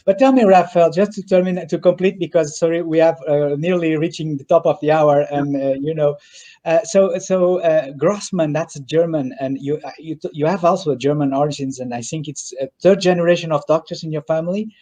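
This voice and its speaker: A man's voice: fairly high pitch, fairly quick, warm tone. A man hosting an interview, speaking with hesitant fillers and slightly non-native phrasing, over a video call. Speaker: host, event organizer. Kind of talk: interview host.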